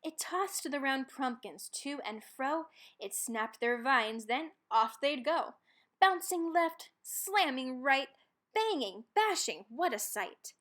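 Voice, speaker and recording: High pitched lady doing a kids picture book read aloud, expressive, really punches the rhyming words. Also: takes it slowly. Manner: expressive, dramatic emphasis on rhymes and key words. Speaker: storybook reader.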